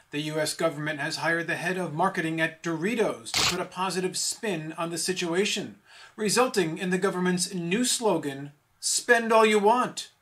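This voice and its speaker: A man's voice: fairly high pitch, a bit bright. A man delivering a scripted satirical news bulletin as an anchor.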